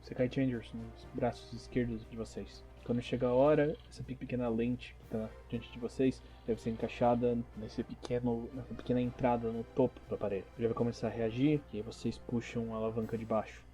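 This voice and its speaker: A man playing an earnest, solemn agent who recruits heroes in a measured, calm, explanatory way for a tabletop audio drama. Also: medium pitch, close to an everyday voice.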